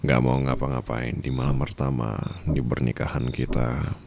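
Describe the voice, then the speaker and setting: Man playing a dominant rich husband, extremely low voice, intimate taunting murmur, ASMR roleplay, menacing, faint background noise. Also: little pitch movement, moderate pace. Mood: cold, knowing. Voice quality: very deep, gravelly. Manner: taunting, intimate murmurs, dropping into whispers, English endearments mixed in. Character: dominant, menacing wealthy new husband toying with treacherous bride.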